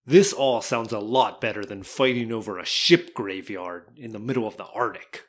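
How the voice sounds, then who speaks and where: A man's voice: lowish voice, moderate pace, close to an everyday voice. A man playing a pliant gang leader in an audio drama, agreeable and eager for profit.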